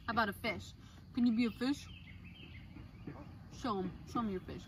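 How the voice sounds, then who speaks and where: High-voiced woman hosting kids' storytime outdoors. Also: moderate pace. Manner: playful, sing-song, animated with silly animal noises. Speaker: children's library storytime host. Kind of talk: singing and chatting to a young child. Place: outdoors on a blanket in a wooded yard. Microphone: camera a few metres away. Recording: distant mic outdoors with clear background noise. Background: outdoor ambience, animal sounds.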